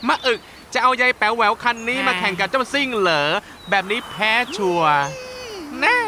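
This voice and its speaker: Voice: medium pitch, expressive, moderate pace, background noise, natural voice. An actor voicing a cheeky, boastful young male rival in a cartoon, talking in a brash, teasing way. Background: cartoon music.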